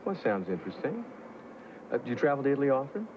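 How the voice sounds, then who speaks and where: Actor as a teasing, charming romantic lead; lively intonation, dull TV-movie audio with noise behind. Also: male voice, medium pitch, quick pace, lightly acted. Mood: playful. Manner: playful, teasing, flirtatious. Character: charming man passenger posing as an orderly. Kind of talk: 1980s TV movie romantic comedy. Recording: muffled TV audio with cabin noise.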